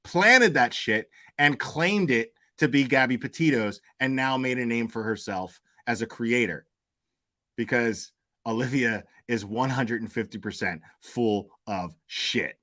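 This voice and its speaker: A man's voice: medium pitch, unhurried, pushed and bright. A man hosting a commentary show, talking over clips in a sarcastic, profane, stammering way. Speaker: commentary host. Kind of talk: mocking reaction commentary.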